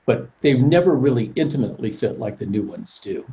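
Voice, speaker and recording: A man in his sixties, a prosthodontist, giving a practical, plainspoken webinar talk in a measured way. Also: medium pitch, animated, creaky, dark tone. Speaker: prosthodontist presenter. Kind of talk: informal professional presentation. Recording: muffled lo-fi call audio.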